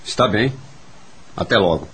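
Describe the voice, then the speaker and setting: An actor with a Brazilian accent playing a callous, sardonic gentleman in a radio drama, curt and dismissive, with a husky voice. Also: lowish voice, lively intonation, normal speed, exaggerated voice. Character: callous, sardonic husband and mansion co-owner.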